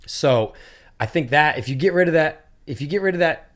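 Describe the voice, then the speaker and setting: A man's voice: on the high side, a little fast. A man hosting a podcast, casually telling a long story and acting out the people in it. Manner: animated storyteller, acts out quotes. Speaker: podcast host.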